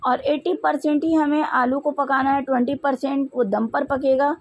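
A woman with a high voice and an Indian accent talks through a recipe. She explains each step methodically, as a home cook giving a tutorial. The sound is dry, with very little room in it. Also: fairly even intonation, moderate pace, no echo, dull audio. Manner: instructional, methodical. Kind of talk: step-by-step cooking tutorial narration.

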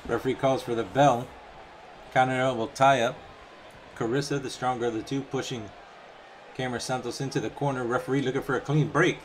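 A young man doing play-by-play commentary over a wrestling video game, chatty and casual between calls and getting excited on the big moves. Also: mid-range voice, a little fast, slight background noise. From Puerto Rican background. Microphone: home microphone, voice-over on game footage.

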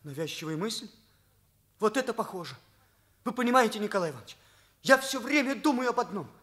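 An actor playing an earnest, lovesick teenage schoolboy who confides openly, in a Soviet radio drama. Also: male voice, high-pitched, expressive, a little fast, sharp tone, heavily acted. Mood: anguished, confiding.

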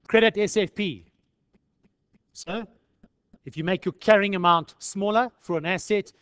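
A man's voice: fairly high pitch, fairly bright tone, no room sound. A South African man lecturing in an informal tutorial style, conversational and peppered with rhetorical questions. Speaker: accounting lecturer.

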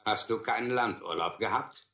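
A man's voice: fairly low pitch, normal speed, sharp tone, roomy sound. An actor playing a probing old seaman who tests tall tales in a German radio drama.

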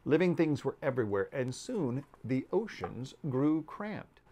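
Male storybook narrator, fifties: slow, deliberate pacing, widely varied intonation, dramatic pauses. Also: medium pitch, very animated, warm tone. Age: 50s. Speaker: author reading aloud. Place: book-lined room at home.

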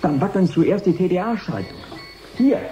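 A man's voice: sits high, big pitch swings, moderate pace, warm tone, lo-fi sound. An actor playing a worried male technician reporting in short sentences in a science fiction radio play. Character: worried control-centre technician reporting a system failure. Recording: echoey room sound.

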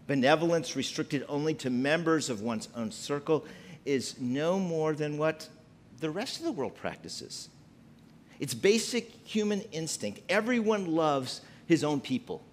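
A middle-aged man delivers a sermon in a church sanctuary, his voice rising and falling in lively swings as he presses his points. He speaks at a medium pitch through a pulpit mic. A faint low rumble sits under his voice. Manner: preaching with wide pitch swings, emphatic and gesturing. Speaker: pastor.

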